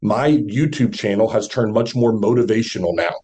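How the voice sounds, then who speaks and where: A man with a deep voice, a podcast guest giving confident motivational advice on branding, asking rhetorical questions. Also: moderate pace, warm tone.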